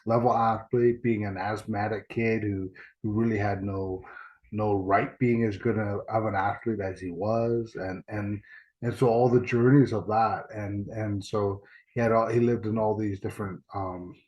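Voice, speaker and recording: A man's voice: lowish voice, fairly even intonation, moderate pace, darkish tone. A middle-aged man co-hosting a casual podcast with his daughter, cracking dad jokes and laughing often. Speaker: podcast co-host, trainer. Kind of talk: casual chat. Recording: dull lo-fi online audio.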